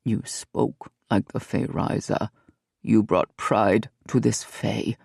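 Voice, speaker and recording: A woman voicing a solemn male warrior who speaks slowly in a low, whispery voice. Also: steady tone, vocal fry, darkish tone, breathy edge, no room sound, studio quality, heavily acted. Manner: slow and solemn. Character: older man, solemn Fey warrior, quiet.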